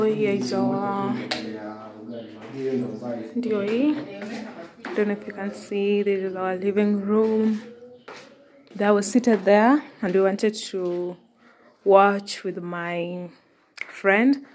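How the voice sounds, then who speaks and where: Slow, chatty Kenyan woman on a phone video. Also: mid-range voice, steady tone. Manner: chatty, mixes Swahili into English, laughs often. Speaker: vlogger. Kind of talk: casual house-tour vlog. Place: rented house, indoors, walking room to room. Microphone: phone camera held in hand.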